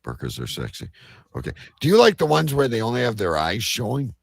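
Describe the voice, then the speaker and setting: A man's voice: medium pitch, huge pitch swings, brisk, gravelly, slightly rough, dry recording, clean studio sound. A man hosting a casual live talk show, teasing and prodding his guests with joking questions.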